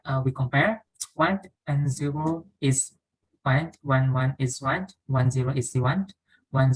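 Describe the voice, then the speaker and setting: Low husky woman explaining code. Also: rough recording. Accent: Indonesian. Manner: steady explanatory, working through steps aloud. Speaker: student presenting a lab answer. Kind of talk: screen-share walkthrough of code.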